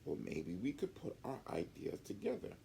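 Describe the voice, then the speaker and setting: Man playing earnest young schoolgirl, fretful, plaintive, storybook character, swooping pitch, mellow tone. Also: huge pitch swings, moderate pace, vocal fry, slight background noise, exaggerated voice. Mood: agreeable.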